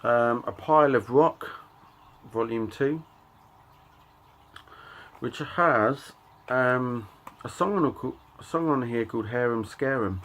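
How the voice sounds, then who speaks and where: A middle-aged man giving a casual show-and-tell of his collection, talking in a halting, offhand way and reading out titles in short bursts. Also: medium pitch, fairly bright tone. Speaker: record collector and channel host.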